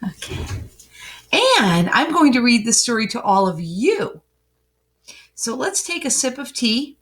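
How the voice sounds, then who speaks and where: Animated, slow-paced woman reading to children. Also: on the high side. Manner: sing-song, addressing young listeners directly. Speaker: storybook reader for children. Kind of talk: warm read-aloud greeting and storytelling to children.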